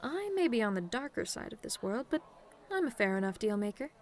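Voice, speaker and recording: A woman's voice: fairly high pitch, very animated, brisk. A woman playing a cocky, sarcastic tomboy demon with a casual, teasing delivery in an audio roleplay. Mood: wry bargaining. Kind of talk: ASMR-style scripted roleplay monologue.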